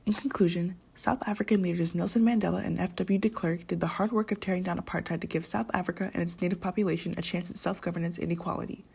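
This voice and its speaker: Fast-talking young woman narrator, very echoey. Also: lowish voice, steady tone, creaky, darkish tone. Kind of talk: scripted educational narration. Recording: echoey, reverberant room.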